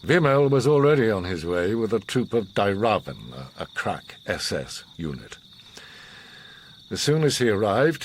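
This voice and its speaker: An actor playing an older, worldly and enigmatic mastermind in a radio drama, speaking in a theatrical, measured, formal and philosophical way with a husky voice. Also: male voice, fairly low pitch, a little hiss or hum. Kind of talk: radio drama acting, storytelling monologue.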